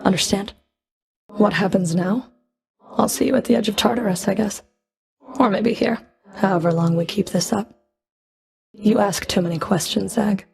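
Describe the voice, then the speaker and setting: A woman playing a cold, proud Fury of the underworld in a video game, dry and cutting, with a creaky edge to her voice. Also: mid-range voice, normal speed, long pauses, darkish tone, close to an everyday voice. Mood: exasperated, softening. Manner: dry, cutting, haughty, controlled. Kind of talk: video game character voice lines.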